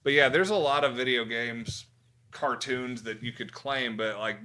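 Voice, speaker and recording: A man's voice: mid-range voice, measured pace, bright, forceful tone. A man hosting a casual pop culture podcast chat, blunt and opinionated, swearing freely. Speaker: podcast host.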